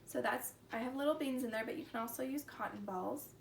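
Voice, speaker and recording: High-voiced woman reading to preschoolers, echoey. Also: brisk, gravelly. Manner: animated, exclaiming, simple child-directed phrasing. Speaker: children's story time host. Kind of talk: expressive read-aloud to preschool children. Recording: roomy echo, distant mic, background noise.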